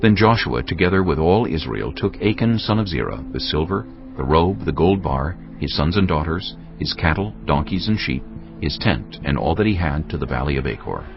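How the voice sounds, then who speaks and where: Very deep, gravelly male narrator. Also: animated, dull audio, over music. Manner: measured, dramatic storytelling. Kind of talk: scripted dramatized Bible narration.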